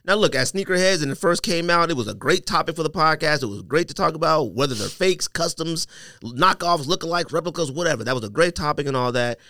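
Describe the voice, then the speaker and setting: Male podcast host, casual slangy storyteller who voices dialogue; highly animated, close dry sound. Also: fast, no echo.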